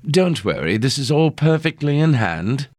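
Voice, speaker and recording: A man voicing a calm, poised female bodyguard who speaks quietly and with measured courtesy. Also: medium pitch, no room sound, studio quality, pitched above the performer's own voice, natural voice. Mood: cool.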